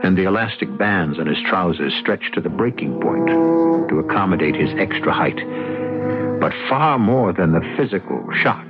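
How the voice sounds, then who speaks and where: Deep, dramatic male radio mystery host. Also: lively intonation, normal speed, over loud music. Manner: dramatic, portentous, rhetorical. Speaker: host and narrator. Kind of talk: theatrical scripted mystery radio narration. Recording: muffled old radio broadcast.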